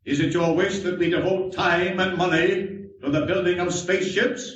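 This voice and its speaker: A man playing a dismissive, authoritative leader of an alien ruling council, formal and measured in 1940s radio style. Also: high voice, steady tone, recorded from a distance, natural voice. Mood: commanding. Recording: echoey, muffled 1940s radio broadcast recording.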